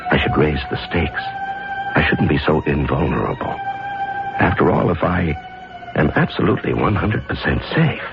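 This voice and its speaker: Very deep, brooding madman judge. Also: big pitch swings, vocal fry, full character voice. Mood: brooding, gloating. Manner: brooding, deliberate, theatrical. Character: cold, thrill-seeking judge secretly a killer, unhinged diarist. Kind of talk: 1970s radio drama acting, confessional diary narration. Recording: dull vintage radio recording, loud music.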